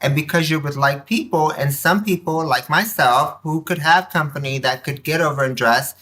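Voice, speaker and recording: A man's voice: on the high side, normal speed. A man speaking as a podcast guest, telling personal stories casually, acting out bits of dialogue and tossing in rhetorical questions. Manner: animated retelling with quoted dialogue and rhetorical questions. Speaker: podcast guest, community activist.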